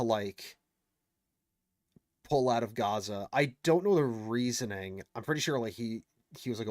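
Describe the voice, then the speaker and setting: A man's voice: medium pitch, darkish tone, no room sound, clean studio sound. A man commenting on clips in a casual, indignant way, thinking aloud with lots of fillers and restarts. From United States.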